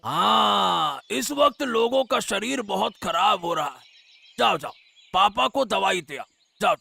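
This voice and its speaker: An extremely creaky, high voice from a male actor makes a nosy, commanding village elder. It is forceful cartoon dialogue, full of questions. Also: expressive, slow, full character voice. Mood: inquisitive, concerned. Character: inquisitive village elder, commanding but concerned.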